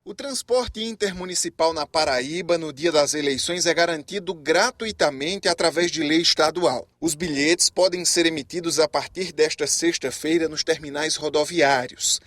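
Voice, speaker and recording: A man reporting for a TV news segment, with a crisp, measured delivery. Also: fairly high pitch, a bit bright, no echo.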